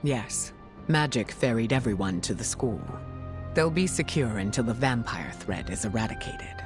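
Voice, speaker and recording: A woman's voice: rumbling low, lively intonation, normal speed. Game role: dignified mature female superhero leader, gracious and composed, prominent music underneath. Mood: composed, caring. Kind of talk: video game dialogue acting.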